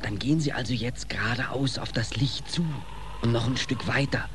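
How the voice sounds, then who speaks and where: A male actor playing a gruff, impatient policeman in a 1940s German radio drama, giving brusque, furtive orders. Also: mid-range voice, moderate pace, close to an everyday voice. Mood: furtive, instructing. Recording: noisy vintage 1940s radio recording.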